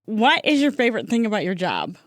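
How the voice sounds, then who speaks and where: A woman's voice: medium pitch, huge pitch swings, a little fast, no echo, studio quality. A woman hosting a podcast interview, upbeat and chatty with frequent fillers. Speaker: podcast host.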